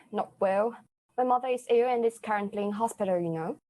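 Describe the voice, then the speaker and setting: A woman improvising an earnest former royal maid who is secretly a witch, speaking with halting phrasing. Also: medium pitch, a little mellow, natural voice. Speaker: improv player. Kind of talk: improvised comedy scene.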